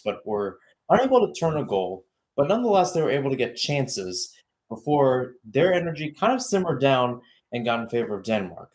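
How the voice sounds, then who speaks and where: Young man calling soccer with lively pitch swings. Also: slightly rough. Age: young adult. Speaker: radio play-by-play commentator. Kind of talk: live soccer play-by-play commentary with analysis.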